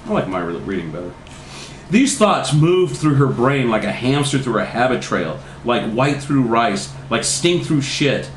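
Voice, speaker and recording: A man reads a comic story aloud with dramatic, deadpan timing and very lively intonation. His medium-pitched voice sounds in a roomy, echoing space with faint noise. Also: measured pace. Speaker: reader. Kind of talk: live comic story reading.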